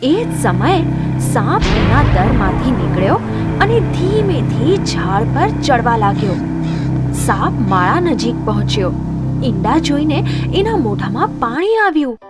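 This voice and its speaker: A woman's voice: a little high, very animated, unhurried, loud music behind the voice. A woman narrating scripted moral stories for children.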